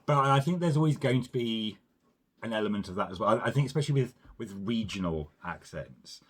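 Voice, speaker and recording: A man's voice: on the low side, expressive, brisk, breathy edge. A man co-hosting a casual film review podcast, rambling and hedging his points with lots of "you know" and "sort of". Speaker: podcast co-host.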